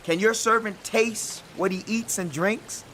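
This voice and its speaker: A man's voice: high-pitched, unhurried, pushed and bright, slight background noise. An actor playing a humble eighty-year-old man, pleading in rhetorical questions in a dramatized audio Bible. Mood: humble, weary. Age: 80s. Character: very old, humble, wealthy man declining a royal invitation.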